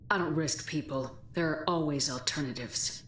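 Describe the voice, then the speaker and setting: An actress playing a tough, skeptical military commander in a video game, blunt and commanding. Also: female voice, low-pitched, moderate pace, a bit bright, slight background noise. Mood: skeptical, challenging. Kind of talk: dramatic video game dialogue.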